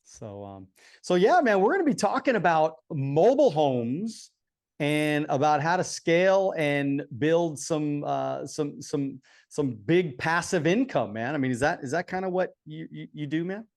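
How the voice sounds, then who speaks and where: An American man with a slightly high voice hosts a podcast. He talks casually, like a buddy, and often doubles up on words as he works through his questions and remarks. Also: expressive, a little slow, a little mellow. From Southern California, US. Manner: informal, buddy-like, repeats words as he goes. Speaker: podcast host. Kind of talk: casual interview chat.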